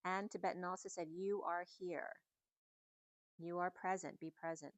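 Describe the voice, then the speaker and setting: A middle-aged woman giving a casual, meandering spiritual reading at home, in a soft and musing way. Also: fairly low pitch, flat delivery, moderate pace, no echo. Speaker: spiritual reader. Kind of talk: casual channeled message reading.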